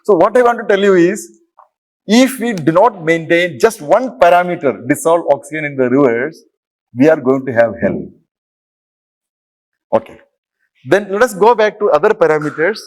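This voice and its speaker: A man's voice: fairly high pitch, rough recording. A middle-aged man from Kerala, speaking English with a Malayalam accent, giving a university lecture and often asking the audience questions. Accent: Malayalam-influenced Indian English. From Kerala, India. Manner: interactive, asks audience questions, explains step by step. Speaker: professor, lecturer. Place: lecture hall.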